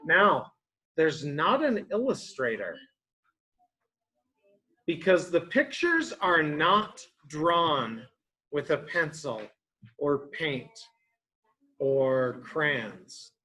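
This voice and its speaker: A man's voice: on the high side, big pitch swings, drawn-out words, pauses often, bright, forceful tone, poor audio. A man reading a picture book aloud to young children as a teacher, explaining things simply and asking them questions.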